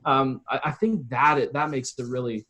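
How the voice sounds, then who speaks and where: A man's voice: medium pitch, fairly even intonation, normal speed, sharp tone. A man explaining things earnestly and casually as an interview guest. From Calgary, Canada. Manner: earnest, explanatory, often voices example quotes. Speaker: guest, copywriter and consultant. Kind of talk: casual conversational interview talk.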